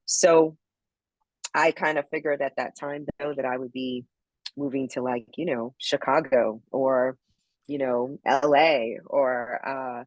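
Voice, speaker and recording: A woman's voice: a bass voice, fairly even intonation, slow pace, dry recording, lo-fi sound. A middle-aged woman from Barbados, a podcast guest telling her story in a reflective, casual way with many ums. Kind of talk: casual interview chat.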